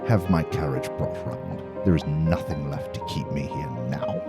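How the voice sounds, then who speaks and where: A cold, villainous nobleman in a medieval fantasy radio play. His voice is extremely low, his speech formal and imperious, with music loud behind him. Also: unhurried. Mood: cold, demanding. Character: haughty, demanding male lord, cold villainous patron. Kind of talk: audio drama acting.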